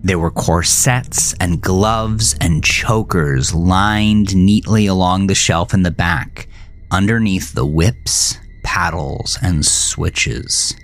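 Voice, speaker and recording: A man narrating a scripted horror thriller in a measured, deliberate, brooding storytelling manner. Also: low voice. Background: music.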